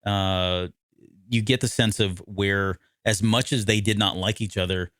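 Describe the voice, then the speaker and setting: A man co-hosting a podcast, recapping a story in casual, halting conversation and often correcting himself. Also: lowish voice, fairly even intonation, a bit bright, dry recording, clean studio sound. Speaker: podcast co-host. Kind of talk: casual discussion recapping an audio drama.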